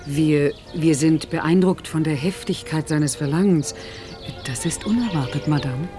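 Scheming middle-aged mother, radio drama. Servile, excitable, hungry for money. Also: sits low, measured pace, soft background music. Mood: flustered, deferential. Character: greedy, servile scheming mother of a kept daughter. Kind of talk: 1970s German radio play acting.

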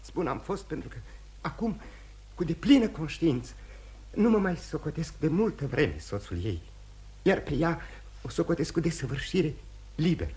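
An actor playing a remorseful, courteous, dissolute gentleman husband in theatrical radio drama style, candid and halting with repetitions. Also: on the high side, very animated, husky, heavily acted. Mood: remorseful, agitated. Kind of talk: mid-century Romanian radio theatre acting.

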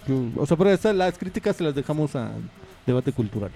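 A man's voice: fairly high pitch, big pitch swings, quick pace, warm tone. Chatty man hosting community radio. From Mexico. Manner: rambling, chatty, many fillers and asides. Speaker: radio host. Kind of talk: casual on-air chat. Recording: radio studio with faint music behind.

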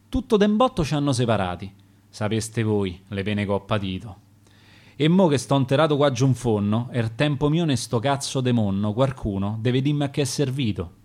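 A man with a Roman accent reciting his own rhymed sonnets on radio, in a measured, rhythmic verse delivery. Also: medium pitch, a little mellow. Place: radio studio.

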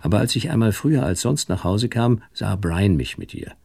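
An actor playing a worldly, detached married man, narrating and speaking in a radio drama with a husky voice. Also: fairly low pitch, big pitch swings, moderate pace, a little mellow, no room sound, studio quality, full character voice. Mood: reflective. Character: worldly, detached married man recounting his own story. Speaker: narrator and male lead. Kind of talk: first-person radio drama narration and dialogue.

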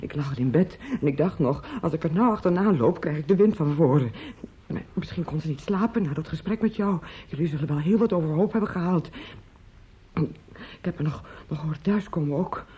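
An actress in a Dutch radio play playing an anguished, talkative female relative who breaks terrible news, theatrical, halting and pleading. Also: low-pitched, mellow, husky. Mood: grave, distressed. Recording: muffled, noisy old radio play recording.

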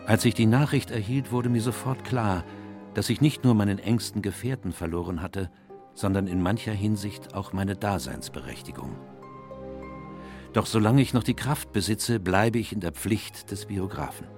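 Low-voiced, reflective gentleman chronicler played by a man. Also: close to an everyday voice. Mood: mournful. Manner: measured, literary, reflective. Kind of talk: scripted radio play narration. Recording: music under the voice.

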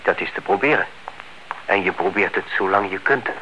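An actor playing a polite, genteel married man in a radio play, courteous and deliberate. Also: sits low, bright, forceful tone. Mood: wistful. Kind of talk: radio play acting in Dutch. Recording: muffled old radio recording, faint noise.

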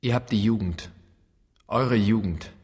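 An actor playing a bitter, reproachful grown son in a literary radio play, declaiming in an accusing, rhetorical way. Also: male voice, lowish voice, measured pace, darkish tone, slightly rough. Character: bitter, reproachful grown son confronting his absent father. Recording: long hall reverb.

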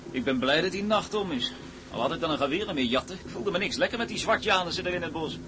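Young sailor, male actor: high, quick male voice, nervous and naive, radio drama, noisy background. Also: animated, close to an everyday voice. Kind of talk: Dutch radio drama acting. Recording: clear background noise and ambience.

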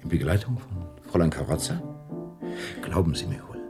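An actor playing a courteous, probing, driven state prosecutor in a German radio drama, with formal, careful phrasing. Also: male voice, low-pitched, sing-song, a little fast, creaky, exaggerated voice. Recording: studio radio play with music behind.

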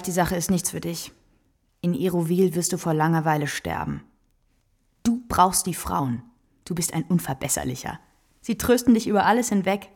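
A worldly courtesan in a radio play, frank and generous. Her voice is husky with a creaky, gravelly edge, and her delivery is theatrical and knowing. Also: lowish voice, darkish tone. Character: worldly, brazen kept woman, generous and theatrical. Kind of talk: radio drama acting.

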